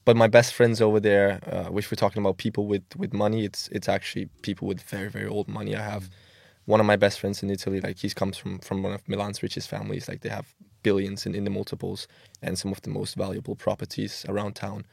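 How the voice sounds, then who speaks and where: Fast, gravelly young man. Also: fairly low pitch, monotone, darkish tone, dry recording, studio quality. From Denmark. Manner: earnest, quick, with frequent word repeats. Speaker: podcast guest. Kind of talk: casual interview talk.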